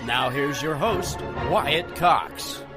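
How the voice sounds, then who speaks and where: Slow, sweeping male promo announcer over music. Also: a little high, lively intonation. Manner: grand, emphatic. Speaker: radio network announcer. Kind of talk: dramatic scripted promo.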